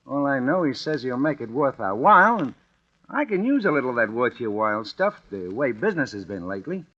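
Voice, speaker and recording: Suspicious, colloquial American regular guy, raspy and animated, blunt vintage radio-play delivery. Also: medium pitch, a little fast. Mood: suspicious, grumbling. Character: plain-spoken, streetwise, suspicious working-class American man. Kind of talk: 1940s radio drama acting. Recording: muffled old radio recording, faint music.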